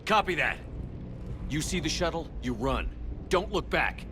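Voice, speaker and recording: An actor playing a steady, commanding space-navy commander in a video game, speaking in a direct, firm, measured way. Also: male voice, a little high, noisy background. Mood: urgent, commanding. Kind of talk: video game cutscene acting. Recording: loud game music behind the voice.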